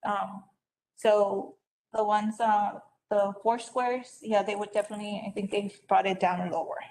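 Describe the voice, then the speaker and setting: Lady giving her take at an informal meeting, medium pitch, little bit of noise behind her. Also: little pitch movement, unhurried, creaky, a little mellow, poor audio. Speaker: meeting participant. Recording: faint background noise.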